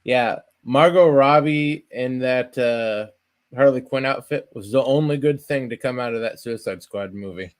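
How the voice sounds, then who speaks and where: A man in a relaxed casual stream chat, reading out viewer comments. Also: mid-range voice, measured pace.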